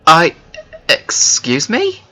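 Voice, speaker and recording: Tense, bright-voiced dragon father played by a man. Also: a little high, huge pitch swings, very slow, soft background music, exaggerated voice. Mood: stunned. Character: protective, defiant dragon father serving a queen. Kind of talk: animated fantasy drama acting.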